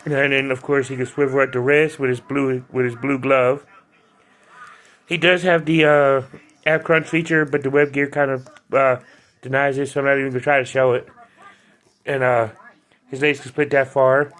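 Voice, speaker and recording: A man's voice: medium pitch, little pitch movement, moderate pace, fairly bright tone. A man giving a loose, chatty video review of an action figure, with frequent restarts and fillers. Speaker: toy reviewer.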